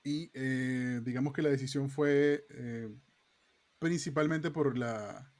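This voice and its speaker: A man's voice: medium pitch, fairly even intonation, slow, a little mellow. A man, a guest telling his story in a thoughtful, rambling way with many fillers. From Peru, raised in Venezuela. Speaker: guest, software QA engineer. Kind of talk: casual interview conversation. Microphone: remote call setup.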